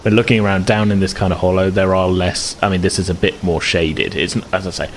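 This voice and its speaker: A man's voice: sits low, level tone, fast talker, slight background noise. A man acting as game master, describing scenes in a chatty, hesitant way. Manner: chatty, hesitant, many restarts.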